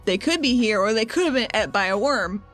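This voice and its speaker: Tabletop player, female, somewhat high pitch, casual table talk over background music. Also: expressive, brisk, a bit bright. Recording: music under the voice.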